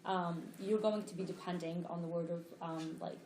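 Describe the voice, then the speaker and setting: A woman's voice: on the low side, fairly even intonation, normal speed, darkish tone. A woman from Tunisia answering on a panel in an earnest, explanatory way, often restarting her sentences. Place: lecture room. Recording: echoey lecture room, faint noise.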